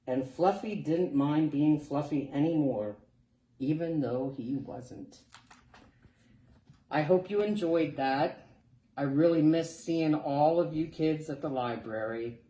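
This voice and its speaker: A woman reading a children's picture book aloud as a storytime reader, expressive and deliberate. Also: sits low. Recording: roomy, distant mic, faint background noise.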